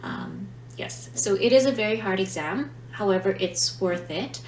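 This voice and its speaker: A woman's voice: medium pitch, moderate pace. A woman who teaches Italian, not her first language, chatting reflectively in a casual vlog and often checking in with "right?". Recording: roomy, distant mic with faint hum.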